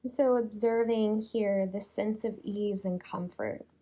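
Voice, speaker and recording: A woman leading a guided yoga relaxation in a soothing, unhurried way, leaving long pauses between phrases. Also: mid-range voice, fairly even intonation, warm tone. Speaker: yoga nidra teacher.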